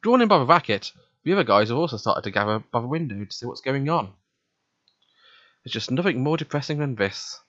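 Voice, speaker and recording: A man with a mid-pitched voice hosts a let's play in a casual, slightly halting way. The sound is dull and very dry, like a simple home microphone. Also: sing-song, fairly bright tone, no echo. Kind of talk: reading visual novel narration aloud, casual commentary.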